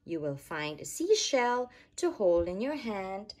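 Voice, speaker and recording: Woman doing storytime for little kids, kinda husky voice, sing-song and really up and down. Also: medium pitch, very animated, a little slow, darkish tone. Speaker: storytime reader. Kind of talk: read-aloud of a rhyming children's picture book.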